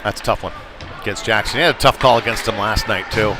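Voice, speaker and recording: A man's voice: fairly low pitch, fast talker. A man with an American accent doing live play-by-play commentary of a youth hockey game in a conversational, folksy way. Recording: loud rink noise behind the voice. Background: noisy indoor ice rink with bangs.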